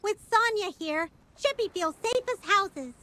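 Casting: tiny boastful game critter, extremely high, squeaky, bouncing melody, cute and plucky. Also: female voice, expressive, unhurried, sharp tone, full character voice. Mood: eager, proud. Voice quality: squeaky, childlike. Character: small, plucky, cute, boastful animal sidekick. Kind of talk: cartoonish video game acting.

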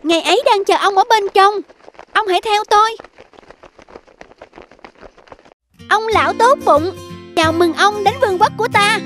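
A woman voicing a small, gentle sparrow in a children's fairy-tale cartoon, with a polite manner. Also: extremely high pitch, fairly quick, pitched above the performer's own voice. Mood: welcoming. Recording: music behind the voice.

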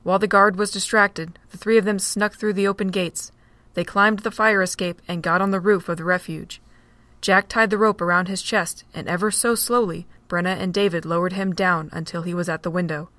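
A woman narrating an amateur audio drama in a steady, plain reading. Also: fairly low pitch, a little fast, no room sound. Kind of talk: storybook narration.

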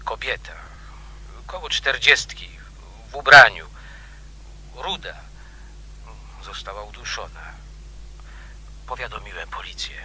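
An actor playing a grave, matter-of-fact investigator in a radio mystery, reporting in terse, deliberate phrases. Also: male voice, medium pitch, animated, bright, forceful tone, slightly rough, full character voice, poor audio. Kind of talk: radio mystery drama acting.

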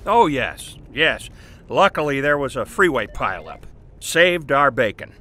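An actor playing a demanding, self-important newspaper editor with a curt, commanding manner in an audio drama. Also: male voice, mid-range voice, big pitch swings, a little slow, sharp tone, exaggerated voice. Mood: smooth, persuasive. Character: brusque, demanding male newspaper editor boss.

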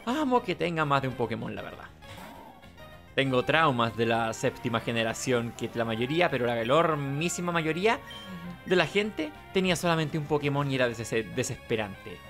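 A Chilean man hosts a casual gaming playthrough in a middling-pitched voice. He talks to himself hesitantly and repeats words, with game music playing behind him. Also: big pitch swings, normal speed, creaky, fairly bright tone. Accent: Chilean Spanish. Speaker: gaming video host. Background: video game music behind the voice.

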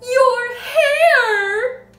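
Shrill, shrieking, scornful wife. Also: female voice, very slow, bright, forceful tone, roomy sound, faint music behind, heavily acted. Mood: horrified shrieking. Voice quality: shrill, screeching. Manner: scolding, exclamatory. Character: shrieking, scornful, hysterical middle-aged wife and mother.

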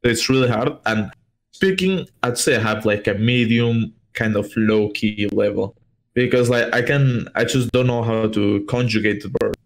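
Young man, low-pitched. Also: normal speed, rough recording. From Portugal. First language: Spanish. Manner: rambling, many fillers and restarts. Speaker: interview guest, esports player. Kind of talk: casual interview over video call. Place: small room at home. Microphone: headset on video call.

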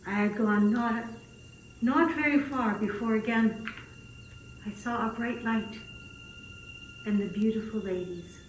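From a distance in an echoey room comes a humble old grass cutter acted out by a woman, telling a puppet-show story. The delivery is slow and dramatic, with fretful, hesitant turns. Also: a little high, slight background noise, close to an everyday voice. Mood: wondering, earnest.

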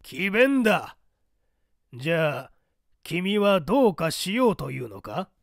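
A rigid, zealous male party loyalist played by a man in a dramatic audiobook. He declaims slowly and formally, with strong emphasis. The pitch is high and swings widely. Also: very animated, gravelly, dry recording, studio quality, exaggerated voice. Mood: challenging. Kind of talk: dramatic audiobook character dialogue.

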